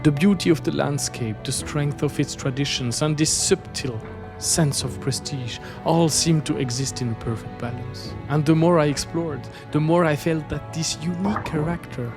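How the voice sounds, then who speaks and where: A man from French-speaking Switzerland, a native French speaker with a Swiss French accent, gives scripted, reflective travel narration as an artist presenting his journey. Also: on the high side. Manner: reflective, storytelling.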